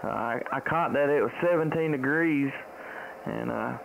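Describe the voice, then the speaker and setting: A man's voice: mid-range voice, moderate pace, gravelly, rough recording. A middle-aged man with a Southern US accent chatting casually as a ham radio operator, folksy and rambling, repeating his phrases. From United States. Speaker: ham radio operator, live-stream host. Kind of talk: casual radio contact chat. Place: small indoor home radio room. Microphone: boom-arm studio microphone, close, with headset. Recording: steady radio static noise.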